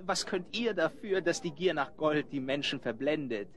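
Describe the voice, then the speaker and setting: A man playing a secretive, smooth-talking young gentleman in a children's audio drama, formal and mannered in speech. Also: a little high, animated, moderate pace. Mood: polite, confiding.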